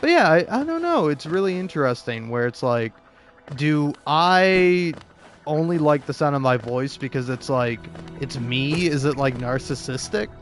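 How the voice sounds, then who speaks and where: A man's voice: a little high, expressive, moderate pace. Rambling man over background music. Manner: rambling, self-questioning. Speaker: video creator. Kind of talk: casual rambling monologue.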